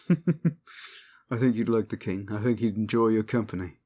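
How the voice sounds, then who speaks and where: A man's voice: lowish voice, measured pace, dark tone. A man playing a weary, modest and loyal knight-captain, sombre and earnest, in a fantasy audio drama. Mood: dry, fond.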